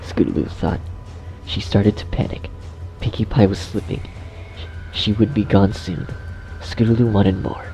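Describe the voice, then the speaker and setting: A man's voice: on the low side, flat delivery, unhurried, long pauses, rough recording. A man reading scripted horror story narration as the narrator. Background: music.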